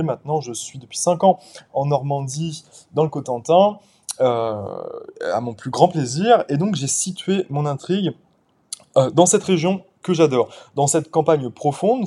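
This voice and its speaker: A man in his twenties from the Paris suburbs, a novelist talking through his book in a casual interview, thoughtful and pausing often as he explains. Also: medium pitch, warm tone. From Paris suburbs, France. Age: 20s. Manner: thoughtful, explains at length with frequent pauses. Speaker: novelist guest.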